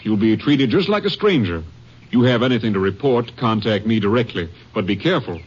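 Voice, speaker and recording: Lively, folksy Ranger captain. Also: male voice, lowish voice, a little fast, breathy edge. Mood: businesslike. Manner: folksy, authoritative. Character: brisk, authoritative male Texas Ranger captain briefing his man. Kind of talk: 1950s radio drama acting. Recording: muffled, noisy vintage radio recording.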